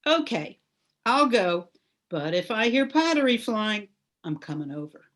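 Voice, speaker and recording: A woman's voice: medium pitch, very animated, unhurried, fairly bright tone. An older woman playing a supportive, protective neighbor friend in an old-time radio soap.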